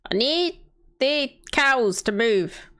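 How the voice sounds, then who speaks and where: Woman in her 30s streaming, chatty, thinks out loud, says hi to chat. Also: high voice, lively intonation, slow, smooth. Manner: chatty, thinks aloud, greets viewers by name. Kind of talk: casual live-stream chat while playing a city-building game.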